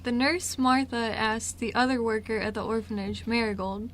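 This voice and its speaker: A young woman with a fairly high voice narrates a story in an amateur scripted style. Faint noise sits behind her reading. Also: flat delivery, moderate pace.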